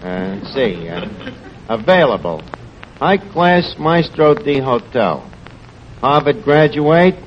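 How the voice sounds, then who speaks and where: A man's voice: mid-range voice, big pitch swings, slow, muffled recording, slight background noise. An actor playing a blustering, self-important male tavern manager in a 1940s radio comedy, pompous and wisecracking with mangled grammar.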